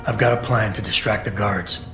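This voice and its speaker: An actor playing a terse, stoic agent in his thirties in a video game, speaking in a clipped, deadpan, controlled way. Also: fairly low pitch, moderate pace, close to an everyday voice. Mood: grim, measured. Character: terse, stoic cybernetically augmented male rescue agent, thirties. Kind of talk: video game dialogue acting. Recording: roomy echo, clear music behind.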